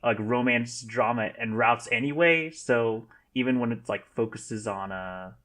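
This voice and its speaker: A man's voice: medium pitch, unhurried, pushed and bright. A man talking loosely in a casual podcast chat, saying "like" a lot. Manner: loose, heavy use of "like".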